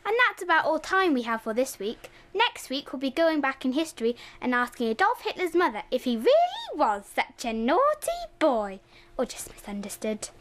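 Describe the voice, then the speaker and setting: A woman's voice: high voice, very animated, moderate pace, fairly bright tone. A young woman playing a self-important, touchy historian narrating a mock documentary in a radio play. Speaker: drama student.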